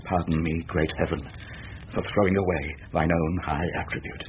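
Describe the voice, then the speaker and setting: An actor playing a troubled, remorseful gentleman of learning, solemn, formal and fervent, in a classic radio drama. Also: low-pitched, steady tone, normal speed, lightly acted. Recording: dull lo-fi radio recording with hiss.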